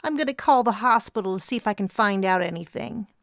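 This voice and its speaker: A woman's voice: mid-range voice, fast, vocal fry, fairly bright tone, no echo, studio quality, pitched above the performer's own voice. A woman voicing a tearful young woman with a clogged nose. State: crying, stuffy nose.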